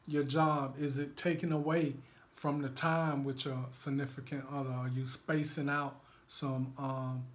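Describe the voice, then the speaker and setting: Slow, hoarse man with an Alabama twang. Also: fairly high pitch. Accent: Alabama Southern. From Alabama, USA. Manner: laid-back, rambling, joking. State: hoarse from a cold. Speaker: vlog co-host. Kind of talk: casual couple vlog chat.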